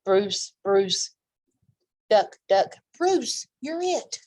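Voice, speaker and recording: A woman voicing excitable, eager animal neighbors from a children's storybook, with exclamatory delivery. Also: mid-range voice, drawn-out words, no echo, heavily acted. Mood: playful.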